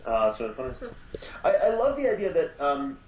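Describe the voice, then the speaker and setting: A man appearing as a guest on a podcast, speaking casually and haltingly with lots of ums. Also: a little high, big pitch swings, darkish tone, echoey room, dull audio. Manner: halting, many ums, easygoing. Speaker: guest, audio drama producer. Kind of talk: casual chat.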